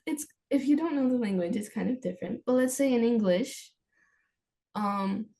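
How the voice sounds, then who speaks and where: A woman's voice: a little high, lively intonation, moderate pace, dark tone. A young woman whose first language is Armenian, a student answering interview questions in a hesitant way, often restarting and searching for words. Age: young adult. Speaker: student guest.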